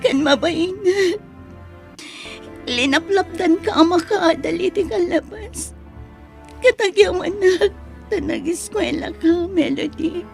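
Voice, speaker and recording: A woman acting a humble, tearful, grateful mother in an Ilocano radio drama, with a creaky voice. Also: very high-pitched, takes it slowly, pauses often, faint music behind, exaggerated voice.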